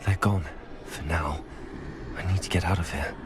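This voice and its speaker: An actor playing an earnest, inquisitive teenage wizard student in a video game, thinking aloud. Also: male voice, low voice, fairly even intonation, normal speed, very noisy, lightly acted. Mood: tense, cautious. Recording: loud game music underneath.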